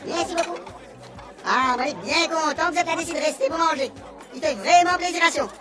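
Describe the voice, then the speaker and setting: A woman's voice: extremely high pitch, brisk, music in the background, crowd noise. A woman with a Réunion Creole accent playing a polite, eager alien hosting humans in a comic radio serial. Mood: welcoming.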